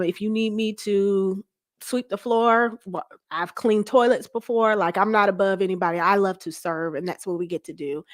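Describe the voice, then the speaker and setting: A woman speaking as an interview guest in a conversational, plainspoken and self-assured manner. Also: fairly low pitch. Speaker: guest, pastor's wife.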